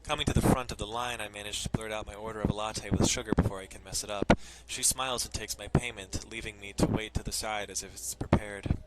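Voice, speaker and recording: A man's voice: lowish voice, steady tone, quick pace, hardly any pauses, very noisy. A man streaming a let's play, reading a visual novel's narration aloud with loose, casual asides. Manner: swears casually. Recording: loud music behind the voice. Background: loud music.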